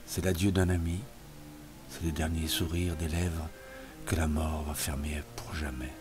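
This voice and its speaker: A man reciting poetry in a solemn, expressive literary style, in measured phrases that sink softer as lines end. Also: extremely low pitch. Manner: measured phrases falling softer at line ends. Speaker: poetry reader. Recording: music playing behind the voice. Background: music.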